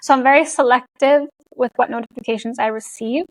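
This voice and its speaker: Guest voice: young Canadian woman, chatty, fairly high, dry close sound. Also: brisk, no room sound, rough recording. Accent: Canadian English with an international European tinge. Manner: chatty, laughs often, many likes and you knows. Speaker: guest, content creator. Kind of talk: casual interview chat.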